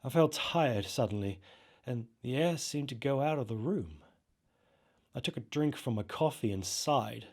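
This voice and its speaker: A man narrating a first-person horror story in an expressive, dramatised way with halting pauses. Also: mid-range voice. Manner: expressive, halting, acts out reactions.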